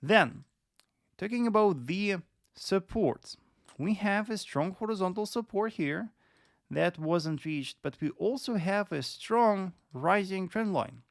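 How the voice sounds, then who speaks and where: A man in his twenties presents live analysis at a slow, measured pace. His voice has a noticeable fry and sits in a dry, close recording. He explains step by step and repeats key phrases for emphasis. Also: high-pitched, lively intonation, dry recording. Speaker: live trading stream host and analyst.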